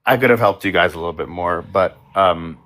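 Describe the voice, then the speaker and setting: A man's voice: low-pitched, a little fast, a bit bright. A man on an online debate panel, stammering and repeating words as he speaks. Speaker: panel guest.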